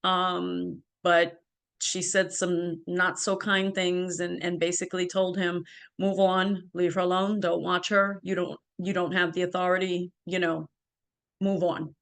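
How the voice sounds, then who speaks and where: A woman's voice: on the low side, flat delivery, a little slow, gravelly. Earnest woman telling her story. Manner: earnest, with frequent ums and restarts. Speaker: interview guest. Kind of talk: personal storytelling in an interview. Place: home, by a ring light.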